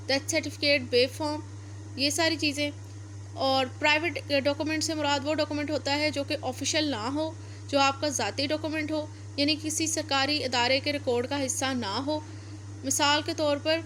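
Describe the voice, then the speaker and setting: A woman with a distinctly high voice and a Pakistani accent teaches law. She explains point by point in lecture style, dropping English legal terms into her sentences. Some background noise sits behind her voice. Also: fairly bright tone.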